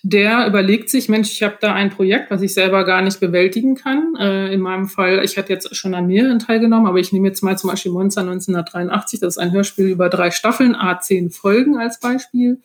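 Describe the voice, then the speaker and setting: A woman's voice: medium pitch, brisk, hardly any pauses. A woman explaining her work as a guest author in a casual, somewhat hesitant way.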